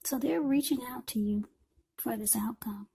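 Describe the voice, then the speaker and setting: Husky high-voiced woman reading tarot. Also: normal speed, dark tone. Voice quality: husky, breathy. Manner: unscripted, short broken phrases with repeats. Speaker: tarot card reader. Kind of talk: informal tarot reading spoken to the viewer.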